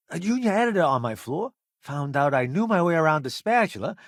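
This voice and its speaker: A man's voice: on the high side, big pitch swings, no room sound, clean studio sound. A man playing a vain, flamboyant food critic turned ship's cook in a video game, with a wry, theatrical delivery. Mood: wry, grumbling. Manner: theatrical, wry, self-promoting, drawn-out emphatic delivery. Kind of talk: video game companion voice acting.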